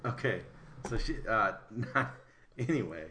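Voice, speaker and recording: A man's voice: on the low side, expressive, unhurried, pushed and bright. A man from Wisconsin with a Wisconsin accent, hosting a casual podcast chat and joking as he steers the talk back. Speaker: podcast host. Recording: roomy echo.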